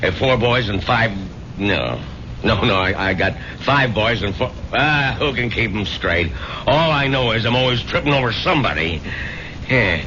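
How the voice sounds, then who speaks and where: A man's voice: medium pitch, expressive, normal speed, sharp tone, a little hiss or hum. An actor playing a brash, colloquial working-class American truck driver and put-upon father in a 1970s radio drama. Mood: wry, grumbling. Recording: dull old radio broadcast.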